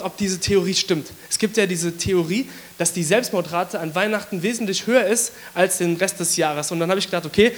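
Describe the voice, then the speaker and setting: A man with a hoarse voice from a cold, giving a casual, chatty sermon to his congregation with many fillers. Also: high-pitched, a little hiss or hum. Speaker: preacher.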